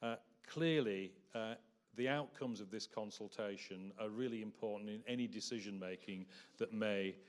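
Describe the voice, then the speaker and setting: A man giving a presentation at a public meeting in a hall, measured and hesitant with frequent ums and uhs. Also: on the low side. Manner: measured, hesitant with many fillers.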